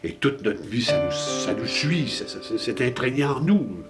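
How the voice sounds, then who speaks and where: A man's voice: mid-range voice, big pitch swings, moderate pace. An older man with a Saguenay Québécois French accent, telling a playful, musing monologue about where he comes from. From Chicoutimi, Saguenay, Quebec. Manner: playful, musing, rising emphasis on place names. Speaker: storyteller host. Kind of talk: monologue with background guitar. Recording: music bed under voice.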